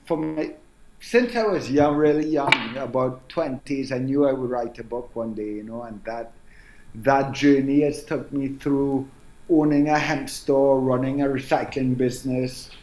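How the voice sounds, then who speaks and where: A man in his forties with a Trinidadian accent, speaking as an author guest in a relaxed interview and musing aloud with many "um"s and "you know"s. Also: medium pitch, roomy sound, low-quality recording. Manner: reflective, unhurried, frequent "um" and "you know". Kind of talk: casual interview conversation.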